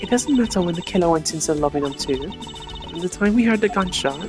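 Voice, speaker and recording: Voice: medium pitch, animated, fast, voice pushed up, natural voice. A streamer voicing a haughty, hot-tempered young prosecutor girl in a game, imperious and scornful. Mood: indignant, haughty. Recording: game music behind the voice.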